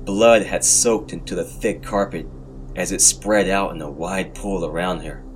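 A man narrating first-person horror fiction for a podcast in a deliberate, brooding, dramatic way with short phrases. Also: low voice, fairly even intonation, faint music behind, rough recording.

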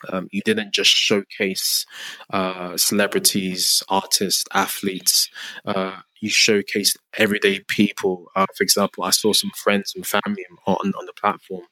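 Low-voiced man hosting a podcast. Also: moderate pace, no room sound, rough recording. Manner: relaxed, many fillers and restarts. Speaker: podcast host. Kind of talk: casual interview chat. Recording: dry with faint music.

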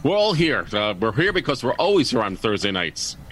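A man's voice: medium pitch, sing-song, a little fast, a crowd in the background. A man co-hosting a radio show, chatting casually in a rambling way and often correcting himself. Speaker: co-host. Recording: faint background noise.

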